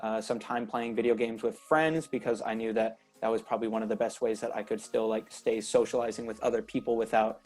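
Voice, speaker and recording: A man's voice: fairly low pitch, steady tone, fairly quick, hardly any pauses, darkish tone, soft background music. A man in his twenties from Northern California, a drum major, answering interview questions over a video call, hesitant and earnest, with many fillers. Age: 20s.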